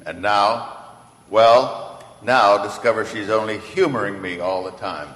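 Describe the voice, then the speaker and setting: A man's voice: on the low side, measured pace, fairly bright tone. An actor playing a kindly, emphatic old man who believes he is Santa, in an old-time radio play. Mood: disappointed. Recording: echoey hall with long reverb.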